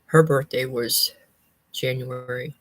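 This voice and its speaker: A woman's voice: a bass voice, fairly even intonation, takes it slowly, fairly bright tone, no room sound. Dry-sounding, rambling woman in her fifties. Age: 50s. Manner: rambling, repeats and corrects herself, folksy wording. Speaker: personal vlogger giving a life update. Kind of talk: casual chat to viewers.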